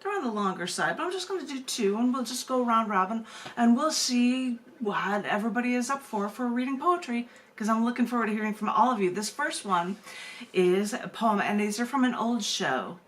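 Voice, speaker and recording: A woman's voice: fairly high pitch, lively intonation, brisk, a bit bright. A woman hosting an open mic and reading her poetry aloud, moving between casual talk and narrative reading. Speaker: open mic host and poet. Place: video call open mic. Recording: noisy room sound.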